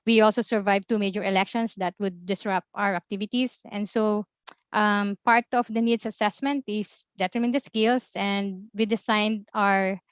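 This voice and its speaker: A Filipino woman with a Filipino accent, presenting in a webinar as an observatory information officer in a measured way, with many ums and uhs. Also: mid-range voice, steady tone, clean tone, no room sound. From Philippines.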